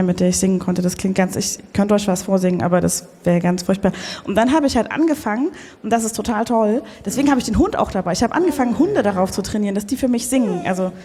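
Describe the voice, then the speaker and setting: A woman's voice: medium pitch, quick pace, few pauses, dark tone, lightly acted. A woman playing an effusive, easily flustered dog trainer and former child singer in improvised comedy. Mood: gushing. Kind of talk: improvised comedy audio play. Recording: reverberant hall.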